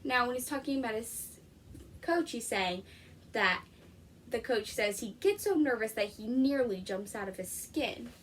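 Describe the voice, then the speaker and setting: A woman's voice: on the high side, expressive, measured pace, a bit bright, distant mic, a little hiss or hum. A woman explaining things informally as a teacher during a read-aloud lesson.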